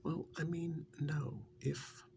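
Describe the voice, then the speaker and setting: A man playing a fondly reminiscing, teasing male partner and former captive in an ASMR role-play, in a breathy half-whisper with gravelly fry and a halting, conversational delivery. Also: a little high, flat delivery, noisy background. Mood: teasing, intimate. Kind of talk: soft-spoken ASMR role-play monologue.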